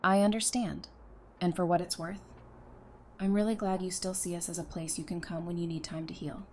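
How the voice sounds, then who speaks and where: A woman playing a caring, gently teasing wife and friend in an audio drama, speaking casually and familiarly. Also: fairly low pitch, steady tone, a little fast, slight background noise, lightly acted. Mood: gentle, concerned.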